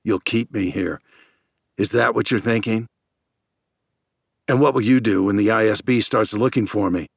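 A man's voice: lowish voice, normal speed, gravelly, long pauses, no room sound, clean studio sound. A man voicing an agitated, suspicious space scientist in an audiobook, insistent and accusatory, verging on hysteria.

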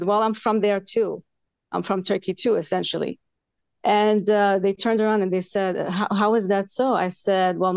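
A woman in her forties, from Lebanon, telling her personal story in an interview, earnest and halting, with many fillers. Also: mid-range voice, a little mellow, no room sound, lo-fi sound. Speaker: author guest.

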